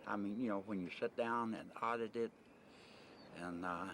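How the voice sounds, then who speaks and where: A man's voice: fairly low pitch, steady tone, moderate pace, a bit bright. An older American man in a casual interview, rambling in a blunt, plain-spoken way with mild swearing. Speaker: interviewee, retired merchant seaman. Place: on location at a desert camp, ambient noise. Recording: noisy 1990 amateur videotape sound.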